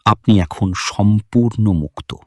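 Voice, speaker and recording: A male actor plays a man of rank full of remorse. He speaks slowly in a fairly low voice, recorded dry, as in an animated morality story. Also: no echo. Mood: remorseful. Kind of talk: dramatized Islamic cartoon story.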